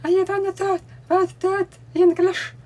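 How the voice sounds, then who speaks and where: A woman with a Russian accent, a native Russian speaker, explaining at home in a deliberate way while she signs along. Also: extremely high pitch, little pitch movement, darkish tone. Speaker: language learning vlogger. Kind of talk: explaining while showing books. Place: home room with bookcase, indoors.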